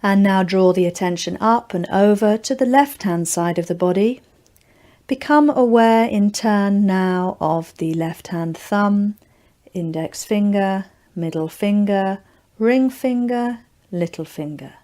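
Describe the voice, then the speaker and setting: A woman leading a guided yoga nidra relaxation, giving gentle, deliberate instructions in short, spaced phrases. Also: medium pitch.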